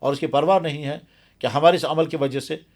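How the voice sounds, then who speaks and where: Guy cleric doing a religious explainer, bit higher pitched, earnest and emphatic, repeats himself for stress. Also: fairly even intonation, brisk. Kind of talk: explanatory religious talk in an interview.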